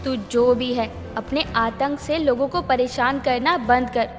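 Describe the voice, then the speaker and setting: Cartoon warrior woman: high voice, firm, dramatic, long reverb. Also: steady tone, a little slow, soft background music. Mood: defiant. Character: fearless masked warrior goddess-like heroine. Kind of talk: animated mythological story acting.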